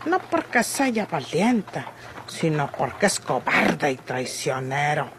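A Mexican actress playing a bandit's anxious, devoted sweetheart in a classic radio soap, with rustic, worried speech. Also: female voice, sits low, big pitch swings, slow pace, full character voice. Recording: old noisy crackly radio recording.

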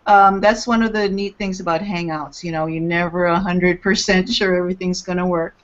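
A woman's voice: fairly low pitch, steady tone, brisk. A middle-aged woman hosting a casual interview over a video call. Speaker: host. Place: indoor room. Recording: dull lo-fi video-call audio.

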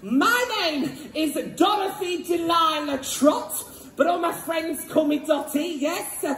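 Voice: extremely high pitch, takes it slowly, a bit bright, full character voice. An actor playing a saucy, boastful middle-aged pantomime mum who banters brashly in a live stage show. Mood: chatty, cheeky. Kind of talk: stage pantomime comedy acting. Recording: echoey theatre stage with music.

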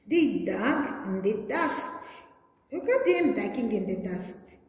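A young adult woman preschool teacher reading a story to small children in a deliberate, singsong way, recorded in a classroom. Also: medium pitch, very animated. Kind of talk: children's read-aloud story lesson. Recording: very echoey hard-walled room.

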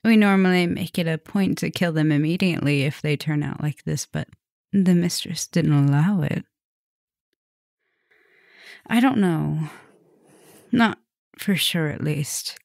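Low, creaky-voiced teasing vampire professor played by a woman. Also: unhurried, pauses often, dry recording, studio quality. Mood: worried, confiding. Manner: soft, teasing, murmuring with affectionate asides. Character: teasing, affectionate, dominant female vampire professor. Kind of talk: intimate ASMR roleplay acting.

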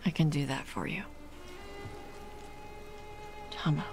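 An actress playing a young horned warrior in a video game, bitter and grieving but determined. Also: female voice, low voice, takes it slowly. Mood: resolved. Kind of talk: video game dialogue acting. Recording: game dialogue with music behind.

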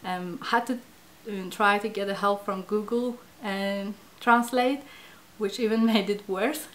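A woman in her thirties from Iran with a Persian accent, a native Farsi speaker, talking reflectively to camera in a small indoor studio and often pausing to search for words. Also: mid-range voice, steady tone, a bit bright. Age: 30s. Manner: hesitant, searching for words, many fillers. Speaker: interviewee, language project participant. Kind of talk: reflective interview talk to camera.

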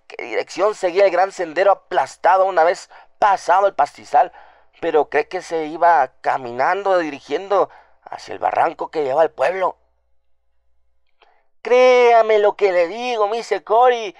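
A man acts out a scared farm housekeeper woman in a radio drama. The voice is high and trembling, in a close, dry recording. She rambles on in superstitious alarm, gossiping and repeating herself. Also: dry recording, close to an everyday voice.

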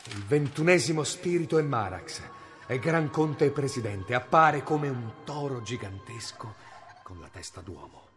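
An actor playing a stern medieval male inquisitor in a radio drama, measured and brooding as he reads from an old book. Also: medium pitch, expressive, faint music behind, natural voice. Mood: commanding.

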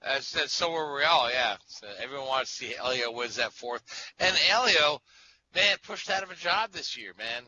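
Bright-voiced man chatting on a podcast. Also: mid-range voice, expressive, moderate pace, slight background noise. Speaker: motorsport writer, guest. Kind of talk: casual chat. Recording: dull lo-fi sound.

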